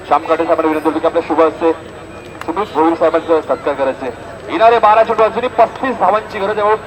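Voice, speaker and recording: A man's voice: fairly high pitch, quick pace. A man with a Maharashtrian accent doing live cricket commentary and announcements at an outdoor local tournament, emphatic and repeating the score as he calls the play. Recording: noisy outdoor recording with loud crowd.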